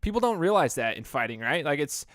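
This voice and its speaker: A man's voice: on the high side, sing-song, quick pace, a bit bright, dry recording. Man, young, podcast host, rambling, dry close mic. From Idaho. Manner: chatty, rambling with restarts. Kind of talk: casual interview.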